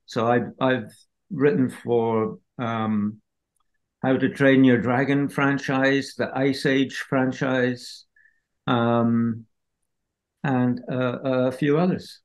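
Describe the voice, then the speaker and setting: An older man from Scotland, a film composer talking slowly and hesitantly on a video call from home. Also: mid-range voice, level tone, rough recording. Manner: slow, hesitant, many fillers. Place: home room.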